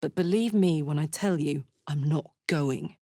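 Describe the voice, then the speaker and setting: A woman's voice: deep voice, measured pace, mellow, dry recording, studio quality. A woman playing a brash, big-hearted, battle-hardened tiefling warrior in a video game, blunt, sweary and matey. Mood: playful, affectionate. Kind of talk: video game character voice lines.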